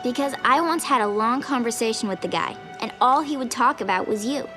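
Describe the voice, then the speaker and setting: Woman as tough young girl, animated series, fairly high pitch, frank, plain-spoken, music behind. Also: fairly quick, lightly acted. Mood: earnest. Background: music behind the dialogue.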